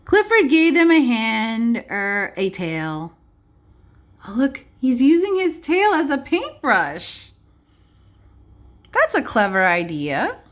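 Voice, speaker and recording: A woman's voice: fairly high pitch, wildly swooping pitch, takes it slowly. A woman preschool teacher reading aloud to young children, adding simple explanations and checking in with questions. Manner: simple child-directed phrasing.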